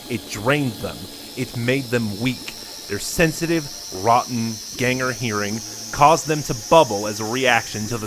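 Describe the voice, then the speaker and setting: A man narrating a scripted story in a measured, steady reading. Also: medium pitch, a little hiss or hum.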